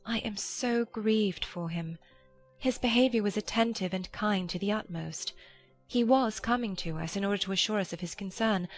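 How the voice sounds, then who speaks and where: A woman playing a gentle, forgiving elder sister, measured and mild, in a dramatized Regency audiobook. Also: medium pitch, lightly acted.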